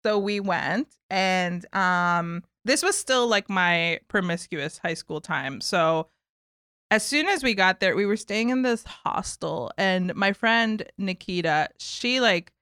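A woman's voice: medium pitch, expressive, slow, pushed and bright, clear, resonant tone, no echo, clean studio sound. A young woman who was born in Japan and raised in New York City, chatting casually with many "like"s and frequent laughs. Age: young adult. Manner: chatty, laughs often, lots of "like". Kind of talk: casual chat.

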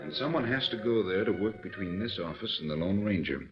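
An actor playing a calm, authoritative male government chief giving measured instructions in a 1940s radio drama. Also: low-pitched, loud music behind the voice. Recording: dull old radio recording.

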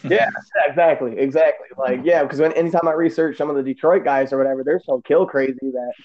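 A man's voice: a little high, fast, a little mellow. Rambling Midwestern man on dull call audio. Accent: Midwestern American. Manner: loose, rambling, swears casually. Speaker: host. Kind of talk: casual podcast chat.

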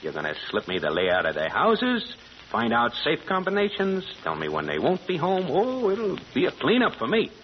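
Cocky American gangster, swooping delivery. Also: male voice, fairly high pitch, huge pitch swings, normal speed. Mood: gloating. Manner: slangy tough-guy talk, taunting. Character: brash bullying male gangster boss, menacing and cocky. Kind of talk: 1940s radio crime drama acting. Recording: muffled, noisy old radio broadcast.

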